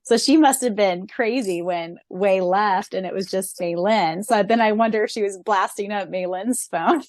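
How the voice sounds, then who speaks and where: Woman, fan podcast co-host, giggly, reads questions aloud, mid pitch, dry sound. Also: normal speed, hardly any pauses, darkish tone, no echo. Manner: giggly, laughs often between remarks.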